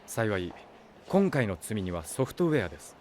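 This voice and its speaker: Polite, businesslike company representative. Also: male voice, fairly low pitch, sing-song, normal speed, darkish tone, a little hiss or hum. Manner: formal, businesslike. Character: smooth, polite male corporate client representative. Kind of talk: Japanese audio drama acting.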